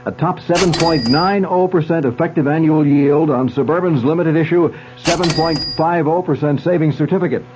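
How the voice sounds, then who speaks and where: A man's voice: on the high side, normal speed, hardly any pauses, noisy background. A man announcing commercials in an upbeat, salesy way. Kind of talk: scripted ad announcing. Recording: muffled old radio broadcast.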